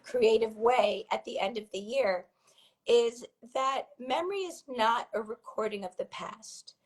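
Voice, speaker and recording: A woman from Hong Kong and Brazil, a filmmaker guest, explaining her ideas thoughtfully in a hoarse voice. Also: fairly high pitch, low-quality recording. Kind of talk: interview answer.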